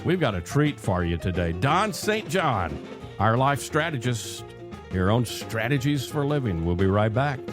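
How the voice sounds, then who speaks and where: A man's voice: medium pitch, very animated, unhurried, music in the background. An older man hosting a talk radio show in a chatty, folksy, conversational way from a close studio microphone.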